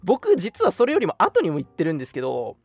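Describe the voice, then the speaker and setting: A young man hosting a radio show, chatting casually and reminiscing in an animated storytelling way. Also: high voice, fast, no echo. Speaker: radio talk show host.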